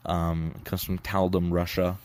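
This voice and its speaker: A man's voice: low voice, level tone, normal speed, darkish tone, smooth. A teenage male radio hobbyist giving casual, explanatory commentary in a slightly hesitant way. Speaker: shortwave radio hobbyist narrator. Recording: noisy, radio static and hum behind. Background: shortwave radio noise.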